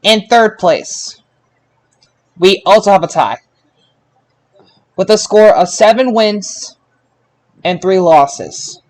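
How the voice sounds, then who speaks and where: A man hosting a casual sports results podcast, speaking haltingly and drawing out his announcements. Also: high voice, expressive. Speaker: podcast host.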